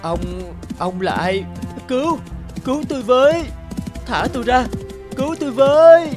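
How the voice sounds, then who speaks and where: A woman's voice: high-pitched, lively intonation, moderate pace, music in the background. A woman voicing a frightened, defiant young goddess held captive in a cartoon fairy tale. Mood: terrified.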